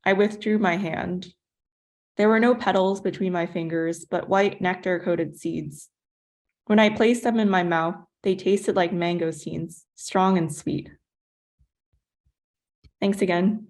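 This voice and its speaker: A woman's voice: fairly low pitch, steady tone, unhurried, a little mellow. A woman writer reading her own literary work aloud.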